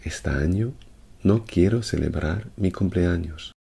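A man reading short phrases in a plain, measured way for a language lesson. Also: sits low, creaky, warm tone, husky.

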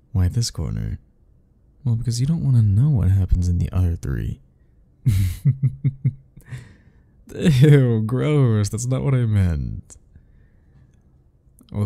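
A man's voice: lowish voice, vocal fry, dark tone, dry recording, exaggerated voice. A man playing an anxious, sarcastic, self-deprecating young man in a romantic ASMR roleplay, speaking in a wry, rambling way to the listener. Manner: sighing, wry, rambling, chuckling.

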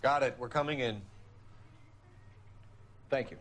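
A man playing an earnest, self-assured 1940s police detective in a video game, crisp and formal. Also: mid-range voice, a little slow, a bit bright, lightly acted. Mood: official, confident. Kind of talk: video game drama dialogue.

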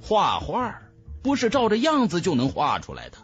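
Guy narrating a fantasy audiobook, higher voice, super expressive, music underneath. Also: moderate pace, breathy edge, muffled recording. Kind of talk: dramatic scripted narration of a fantasy novel.

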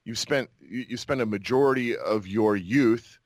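A low-pitched American man chats as an interview guest. He talks reflectively, feeling his way through sentences as he tells his story. The sound is dry and close. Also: moderate pace, no echo. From Utah and New Mexico, United States. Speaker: podcast guest, actor and army veteran. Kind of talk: casual interview conversation.

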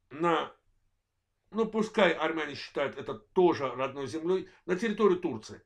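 Gravelly-voiced man with a worn voice. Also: a little high. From Azerbaijan. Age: 40s. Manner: measured, halting delivery in short phrases. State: voice worn, apologizes for it. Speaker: channel host, political commentator. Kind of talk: monologue political analysis.

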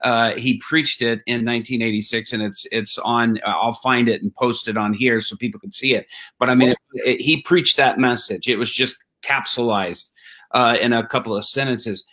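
Halting male interview host. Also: mid-range voice, fairly even intonation, pushed and bright. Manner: halting, repetitive, encouraging interjections. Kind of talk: casual interview chat.

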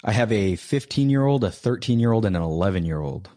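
Very deep-voiced easygoing man in his thirties. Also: animated, quick pace, warm tone, dry recording, clean studio sound. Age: 30s. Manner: laughing, easygoing, rambling with restarts. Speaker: podcast guest. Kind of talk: casual interview chat.

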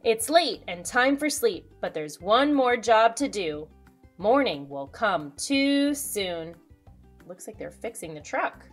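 A woman in her thirties, a children's host reading a picture book aloud with expressive storytelling delivery. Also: medium pitch, slow pace, faint music behind. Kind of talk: storybook read-aloud with asides to young viewers.

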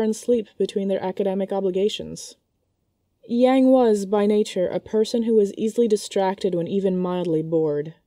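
A woman's voice: medium pitch, dark tone, no echo, clean studio sound. A woman reading scripted narration of a fan fiction story as its narrator.

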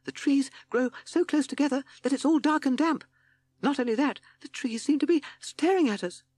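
A man's voice: very high-pitched, animated, quick pace, no room sound, pitched above the performer's own voice. A man voicing a timid, easily scared toad with a jittery, stammering delivery in a children's story. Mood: frightened.

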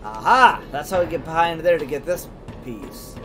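A man's voice: expressive, normal speed, a bit bright, faint music behind. A man doing casual let's play commentary, thinking aloud to himself in short, informal phrases. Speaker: gamer, let's play host. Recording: noisy, with game ambience and rumble. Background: horror game ambience and machinery rumble.

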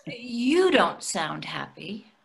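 A woman's voice: medium pitch, very animated, very slow, gravelly, a bit bright, exaggerated voice. An older woman playing a polite, placating tourist diner in a readers theatre comedy, speaking a little hesitantly. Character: polite, hungry New York tourist diner, conciliatory. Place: plain room indoors on a video call. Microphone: video call microphone.

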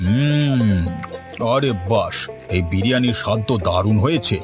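A man's voice: mid-range voice, expressive, moderate pace, over music, natural voice. A man voicing a generous, grand village landlord in a cartoon, with a lordly, approving manner. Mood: lordly, satisfied. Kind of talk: Bengali cartoon dialogue.

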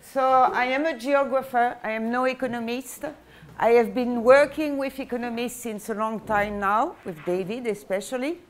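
A woman's voice: a little high, steady tone, normal speed. An older woman with a French accent gives a university lecture, hesitant and full of fillers and restarts, heard from a camera across a classroom. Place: classroom with projector screen.

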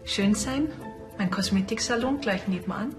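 An actress with a Bavarian accent playing a chatty small-town beauty salon owner in a TV crime comedy. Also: female voice, mid-range voice, normal speed, music in the background, lightly acted. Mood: matter-of-fact.

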